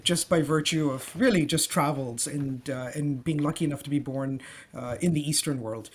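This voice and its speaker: Guy from dubai, bit high voice, calling in remote, explains stuff w lots of um and you know. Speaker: guest, company co-founder. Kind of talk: remote interview answers.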